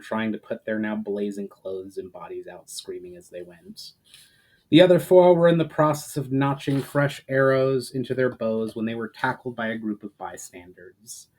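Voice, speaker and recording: A man's voice: mid-range voice, low-quality recording. Man reading and introducing his fantasy story. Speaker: author reading own book. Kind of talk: casual chat intro, then dramatic audiobook-style narration.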